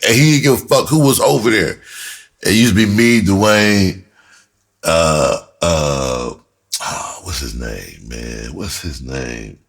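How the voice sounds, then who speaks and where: Deep-voiced man with a husky edge. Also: takes it slowly. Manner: animated, profane, slangy, repeats himself for emphasis; breaks into laughter often while talking. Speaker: screenwriter and performer telling his own story. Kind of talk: casual storytelling chat.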